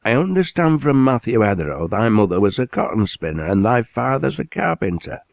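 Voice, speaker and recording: A man's voice: lowish voice, sing-song, creaky, no room sound, voice pushed up. A man doing a hearty Lancashire mill boss. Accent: Lancashire dialect. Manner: commanding, brisk, hearty. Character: blunt hearty older male mill owner.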